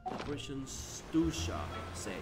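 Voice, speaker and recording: Male game streamer, medium pitch, halting casual commentary, echoing reverb, music in background. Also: lively intonation. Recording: reverberant room sound, game music behind.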